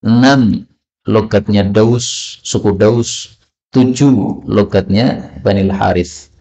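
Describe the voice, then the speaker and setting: A man's voice: lowish voice, slow, mellow. A man teaching a religious study lesson, translating texts line by line and asking and answering his own questions. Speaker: Islamic teacher. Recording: dull, lo-fi recording.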